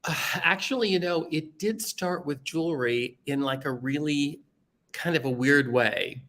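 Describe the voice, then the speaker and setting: A man from Canada and England chatting casually as an interview guest, telling stories in an animated way with careful diction and ready laughter. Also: on the high side, a little slow. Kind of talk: casual chat, anecdotal storytelling.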